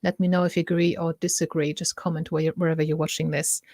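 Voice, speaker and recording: A middle-aged woman speaks with a German accent in a lowish, even voice, recorded close and dry. She hosts a casual talk to camera, chatty and prone to stopping mid-thought to correct herself. She keeps turning to viewers to ask what they think. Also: fast talker, no room sound. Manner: chatty, self-interrupting, asks viewers for opinions. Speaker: host.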